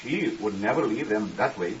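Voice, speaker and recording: An actor playing an earnest, stiffly formal young Welsh scholar in a 1950s radio drama, polite and deferential with singsong phrasing. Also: male voice, lowish voice, lively intonation, moderate pace, distant mic, natural voice. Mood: earnest, insistent. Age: young adult. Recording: muffled hissy old radio recording, off mic.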